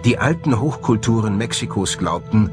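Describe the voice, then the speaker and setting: Man, low voice, measured documentary narration, articulate delivery, prominent music behind. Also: lively intonation. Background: prominent background music.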